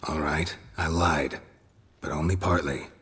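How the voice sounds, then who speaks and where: An actor playing a gruff, sardonic middle-aged monster hunter in a video game, with a gravelly voice and terse, deadpan delivery. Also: male voice, very deep voice, measured pace, in a large hall, exaggerated voice. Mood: dry, blunt. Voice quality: gravelly, husky.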